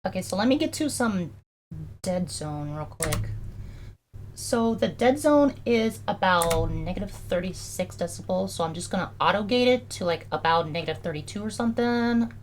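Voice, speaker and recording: A young adult streamer with a high-toned voice, chatting casually and filling every pause with "like" and "um" while working through audio edits live. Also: lively intonation, slight background noise. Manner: chatty, heavy use of "like" and "um".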